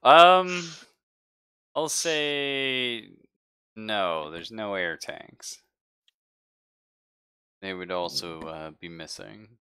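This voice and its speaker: A man running a tabletop roleplaying game, describing scenes to players in a halting, conversational way. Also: on the low side, bright, forceful tone, smooth. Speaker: game master. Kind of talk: improvised narration of a live tabletop roleplaying game.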